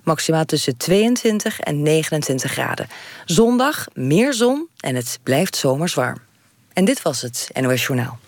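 A woman's voice: low-pitched, big pitch swings, moderate pace, slight background noise. A woman with a Netherlands Dutch accent reads a scripted radio news bulletin in a formal, factual way. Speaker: radio newsreader.

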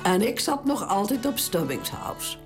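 A woman's voice: medium pitch, big pitch swings, measured pace, over loud music. A proud old queen. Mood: reminiscing. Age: older. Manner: dignified, emotional exclamations. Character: stubborn elderly queen, proud grandmother. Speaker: narrator and lead in radio drama. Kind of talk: radio drama acting.

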